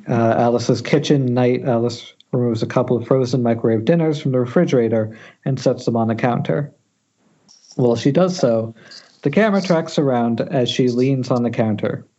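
A man's voice: medium pitch, warm tone. A man reading stage directions as narrator at an amateur table read, recorded over a muffled internet call.

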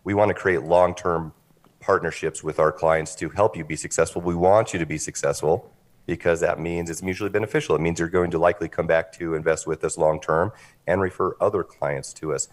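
A man giving an articulate, businesslike pitch as an interview guest, explaining things in a measured, polished way. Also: fairly even intonation. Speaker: interview guest, company representative.

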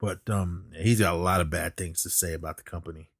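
A man's voice: low voice, steady tone, fairly quick, husky, no echo, clean studio sound. A middle-aged man co-hosting a podcast in casual chat, rambling and good-humoured, given to chuckling. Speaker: podcast co-host. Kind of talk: casual chat reviewing wrestling.

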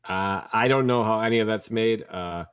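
A man with a lowish voice chats easily on a sports podcast. He is laid-back and slangy. The sound is dry. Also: normal speed, fairly bright tone, clear, resonant tone, no room sound. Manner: laid-back, says bro and dude. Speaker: podcast co-host. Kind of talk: casual chat.

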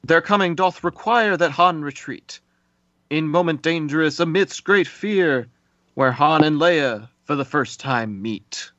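A man reciting a solemn stage chorus in old-fashioned verse, measured and steady. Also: on the high side, no room sound, muffled recording.

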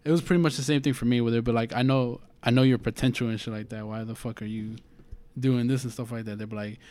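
A young man in his twenties with a high voice, chatting casually and profanely as a podcast co-host. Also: fairly even intonation, a little mellow, clean tone. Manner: rapid, profane storytelling. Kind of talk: casual chat.

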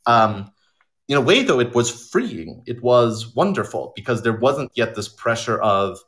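A man talking in an informal interview as an audio drama creator, telling stories in an animated way and voicing imagined quotes. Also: medium pitch, unhurried. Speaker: audio drama writer and director being interviewed.

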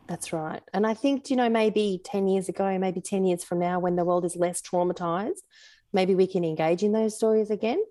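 A woman's voice: medium pitch, steady tone, moderate pace, warm tone, no room sound. A woman with an Australian accent, an author talking casually and reading fiction aloud as a guest.

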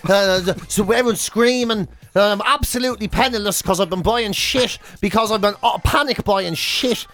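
A man's voice: sits high, normal speed, fairly bright tone. An Irish man co-hosting a radio show in casual comic banter, sweary, colloquial and given to exaggeration.

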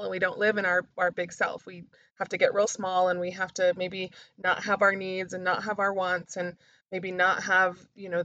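A woman speaking as a coach and podcast host, sharing personal reflections in a candid, confessional way, halting and stressing key words. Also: mid-range voice, sharp tone, no echo. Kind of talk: personal reflective talk to camera.

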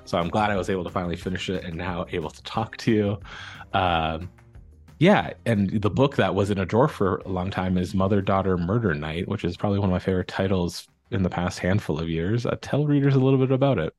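A man's voice: low-pitched, quick pace, darkish tone, soft background music. A man hosting a podcast, chatting in an informal, rambling interview style. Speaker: book podcast host. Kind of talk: casual interview chat.

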